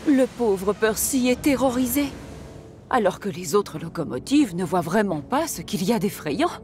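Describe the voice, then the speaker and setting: Lady telling a kids' cartoon story, lively tone, music behind. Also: medium pitch, normal speed. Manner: gentle, storytelling. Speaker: narrator. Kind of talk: scripted storybook narration.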